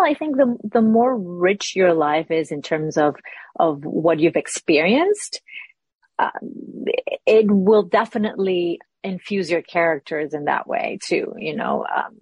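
A woman in her forties from Peru talks as an interview guest, pausing and restarting as she searches for the right words. Her voice is low and slightly breathy. The sound is dry and close. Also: a little mellow, no room sound. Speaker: actress, guest. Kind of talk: casual interview chat.

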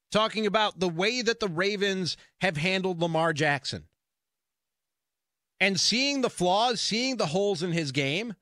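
Bright, high-pitched man on sports radio. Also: no echo, clean studio sound. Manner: emphatic, insistent, repeating phrases for stress. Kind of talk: opinionated sports talk monologue. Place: dry radio studio. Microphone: close broadcast studio mic.

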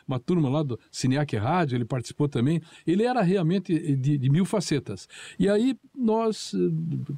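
Older male guest from Paraná, mellow tone, dry close sound, lively anecdote teller. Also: on the high side, dry recording. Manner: storytelling, acts out quoted dialogue. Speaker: guest, former TV show producer. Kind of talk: anecdotal recollection.

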